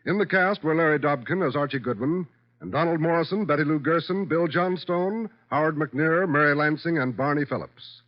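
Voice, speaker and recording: A man's voice: fairly high pitch, moderate pace. A man with an American accent announcing formally in the style of old-time radio, reading credits and messages. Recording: dull vintage radio broadcast recording. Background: music.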